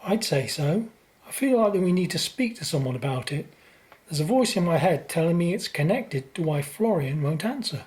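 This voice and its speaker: A woman's voice: fairly low pitch, animated, moderate pace, a little mellow, natural voice, poor audio. A woman playing an earnest, uneasy young man in a radio play. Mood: worried. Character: anxious, earnest young man troubled by dreams.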